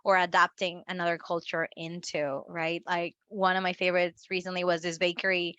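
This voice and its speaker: A woman from Mexico, a native Spanish speaker, talking casually as a marketing guest in an interview, animated and laughing often with frequent restarts. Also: on the low side, normal speed, clear, resonant tone, no echo, dull audio.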